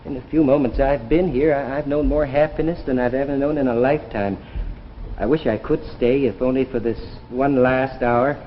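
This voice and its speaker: An actor playing an eager, anxious young lab assistant who travels through time, earnest and stammering, in 1950s sci-fi drama style. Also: male voice, mid-range voice, fairly even intonation, moderate pace, a little hiss or hum, natural voice. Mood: reluctant, resigned. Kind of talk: 1950s radio-era sci-fi drama acting. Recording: dull vintage broadcast, long reverb, music behind.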